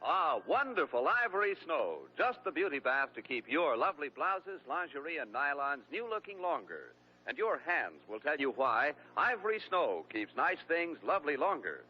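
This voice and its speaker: A man's voice: a little high, very animated, normal speed. Persuasive old-time radio ad man. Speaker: radio announcer. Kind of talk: reading a commercial. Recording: dull lo-fi old radio recording with hum.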